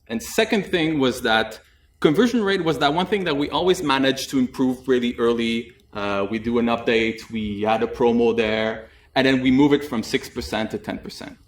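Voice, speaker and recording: Young man presenting in an echoey room. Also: medium pitch. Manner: relaxed and chatty, with frequent "uh" fillers and light jokes. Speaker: conference presenter. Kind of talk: technical conference talk. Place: conference room with audience, indoors. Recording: echoey room, mic at a distance.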